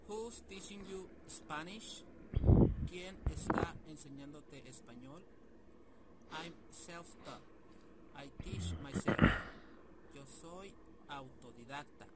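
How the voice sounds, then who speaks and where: Man reading learner phrases very slowly. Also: a little high, a loud crowd, low-quality recording. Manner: very slow, deliberate reading for learners. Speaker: recorded course audio voice.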